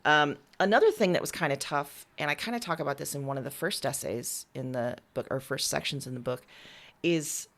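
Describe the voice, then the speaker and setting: A woman's voice: low-pitched, fairly quick, fairly bright tone. A woman giving casual, candid interview answers as a writer, talking informally. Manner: candid, informal, occasional swearing. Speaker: interviewed writer and audio drama creator.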